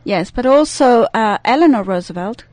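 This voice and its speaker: A woman's voice: fairly high pitch, expressive, moderate pace, a little mellow, dry recording. Swiss woman with an Italian-tinged accent. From Italian-speaking Switzerland. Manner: hesitant, searching for words with many fillers. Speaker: radio guest. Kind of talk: radio interview. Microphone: studio microphone.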